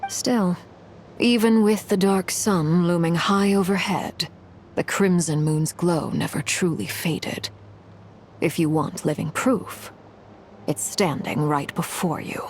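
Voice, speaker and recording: A cold, composed noblewoman commander from a video game. She speaks formally and with controlled restraint, her voice set a little lower than most women's. A faint noise sits beneath her lines. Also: fairly even intonation, slow.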